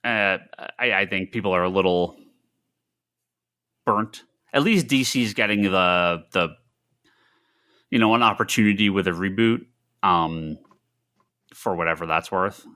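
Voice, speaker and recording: A man with a very low yet bright, forceful voice. He talks like a podcast co-host in casual conversation, rambling and halting as he thinks out loud. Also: smooth. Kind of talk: casual chat about comics and films.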